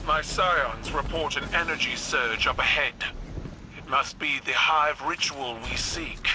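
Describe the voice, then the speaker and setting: A man's voice: unhurried, close to an everyday voice. A man playing a proud alien empire commander in a video game, speaking formally and imperiously. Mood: commanding. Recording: game effects and rumble behind.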